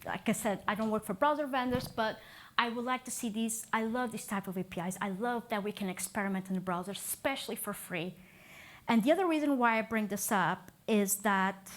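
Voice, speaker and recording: Upbeat woman. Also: fairly high pitch. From Portugal. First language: Portuguese. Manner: chatty and upbeat, laughs often, self-deprecating. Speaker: conference speaker. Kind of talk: tech meetup talk. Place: meetup talk venue.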